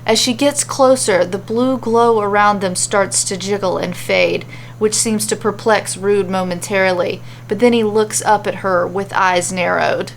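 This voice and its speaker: A woman's voice: medium pitch, steady tone, a little slow, creaky, hardly any pauses, a little hiss or hum, poor audio. A woman reading a scripted fantasy adventure story as its narrator, telling it dramatically.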